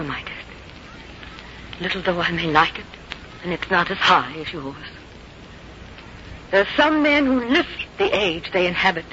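A woman's voice: on the low side, pushed and bright, full character voice. An actress playing a devoted, dignified woman with earnest, lofty delivery in a 1950s radio drama. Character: devoted, dignified woman bidding a solemn farewell. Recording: dull, hissy vintage radio recording.

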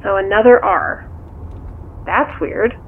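An actress playing a curious, skeptical confidante in a naturalistic audio drama, questioning in a casual, dry way through a telephone filter. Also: female voice, medium pitch, slow, a little hiss or hum. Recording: thin, tinny phone line.

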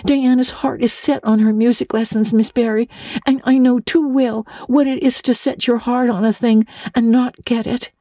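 A woman's voice: on the high side, steady tone, moderate pace, warm tone, no echo, studio quality, voice pushed up, lightly acted. A woman voicing an eager, earnest orphan girl in a storybook reading, talkative and polite, with breathless stammers. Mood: earnest pleading.

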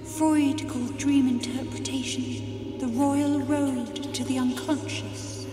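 A woman playing a formal, measured theme park announcer in a scripted, eerie audio drama attraction. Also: high voice, fairly even intonation, distant mic. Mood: eerie, inviting. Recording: echoing hall playback with clear music.

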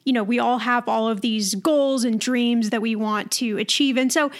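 A woman's voice: fairly high pitch, normal speed. A young American woman co-hosting a show, talking in a casual, conversational style. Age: young adult. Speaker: podcast co-host. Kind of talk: casual chat.

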